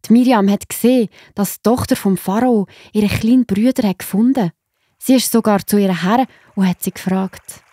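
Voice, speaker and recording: A woman's voice: mid-range voice, normal speed, dark tone, slightly rough, dry recording, clean studio sound. A woman with a Swiss accent narrating a children's story in a chatty way, speaking directly to her listeners.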